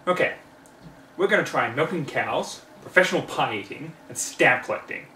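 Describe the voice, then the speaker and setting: A man's voice: fairly high pitch, expressive, moderate pace, pushed and bright, a little hiss or hum. Storybook filly pony, read by a man: eager young ringleader, brash and bossy, recorded echoey from off the mic. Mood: eager, brisk. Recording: roomy, distant microphone in home room.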